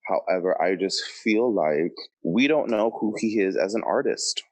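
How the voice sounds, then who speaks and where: Very low-voiced, blunt man on a podcast. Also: lively intonation, unhurried, no echo. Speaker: podcast co-host. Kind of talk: casual opinionated podcast chat.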